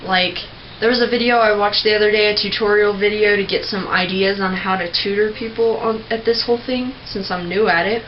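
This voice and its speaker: A young woman giving a casual, rambling tutorial on screaming technique, clearing her throat often. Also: mid-range voice, fairly even intonation, fairly quick. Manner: chatty, rambling, with many filler words and throat clearing. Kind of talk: casual home tutorial video. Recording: dull lo-fi home recording with faint noise.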